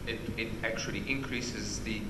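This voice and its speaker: A man with a loud voice giving an interactive medical lecture, quizzing the audience and restarting his sentences often. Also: fairly low pitch, fairly even intonation, normal speed, very noisy. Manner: questions the audience, many restarts. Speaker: physician lecturer. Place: conference hall.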